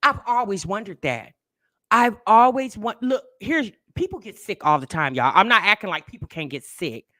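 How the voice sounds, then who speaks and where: A woman's voice: mid-range voice, very animated, normal speed, bright, forceful tone. A woman hosting a reaction channel, chatting casually to her viewers, talking straight at them and repeating herself for emphasis.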